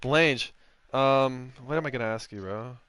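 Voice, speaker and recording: A man's voice: mid-range voice, moderate pace, fairly bright tone, smooth, no echo. Laid-back joking male race host. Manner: joking, laughing, crude banter. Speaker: host of a sim racing post-race stream. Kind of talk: casual post-race interview.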